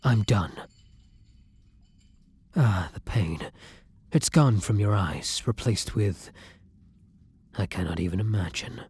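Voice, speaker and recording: Man acting as tormented gentle vampire, very husky breathy murmur, lowish pitch, formal old-fashioned wording, protective, intimate ASMR roleplay. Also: slow, pauses often, heavily acted. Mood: soothing, solemn. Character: kind, tormented male vampire, tender and protective lover.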